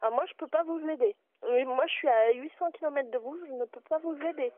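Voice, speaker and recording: Woman, bookshop clerk, polite but firm, high voice, tinny phone line. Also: fast talker, no echo. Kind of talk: customer service phone call.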